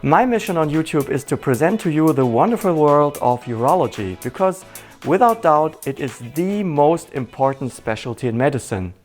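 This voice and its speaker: A man's voice: a little high, animated, normal speed, faint music behind. A middle-aged man with a German accent, a urologist hosting his channel, giving a scripted introduction in an earnest, dryly humorous way.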